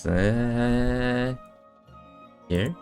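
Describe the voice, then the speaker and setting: A man streaming a game with casual, low-key, muttered commentary and offhand swearing. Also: very slow, a little mellow, faint music behind. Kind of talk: casual live playthrough commentary.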